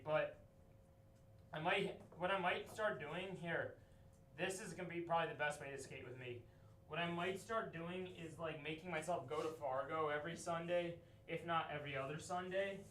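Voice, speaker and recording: A man in his late twenties chatting casually on a livestream while he works, rambling and half talking to himself in skater slang. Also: fairly bright tone. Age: late 20s. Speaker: livestreamer doing DIY studio build. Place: echoey indoor room under renovation. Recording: echoey room, distant mic, faint rumbling noise.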